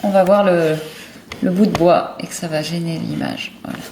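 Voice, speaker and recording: A woman's voice: on the low side, fairly even intonation, normal speed. A woman chatting casually as she co-hosts a family video, encouraging a child and asking guiding questions. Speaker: mother co-hosting a family video. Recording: roomy echo, far mic, faint noise.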